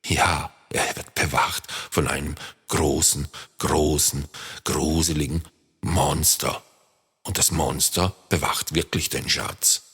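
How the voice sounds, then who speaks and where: A man's voice: rumbling low, fairly even intonation, takes it slowly, a bit bright, slightly rough, full character voice. A man reading a children's storybook, voicing a timid, anxious hare. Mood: worried. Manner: anxious, hesitant. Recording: long reverb.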